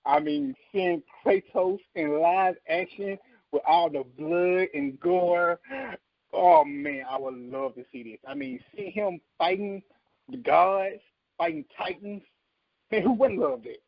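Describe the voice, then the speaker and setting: A man's voice: high voice, expressive, takes it slowly, gravelly, no room sound. A man in his thirties chatting casually and emphatically as a podcast co-host, giving enthusiastic opinions. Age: 30s. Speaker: co-host of a video game podcast. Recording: slightly dull, dry radio-like sound.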